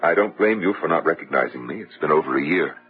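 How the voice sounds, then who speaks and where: A man's voice: rumbling low, expressive, fast talker, soft background music. A man playing a polite, hesitant lawyer and family friend in an old radio drama. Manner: courteous, hesitant, faltering. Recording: tinny, narrow-band vintage radio recording.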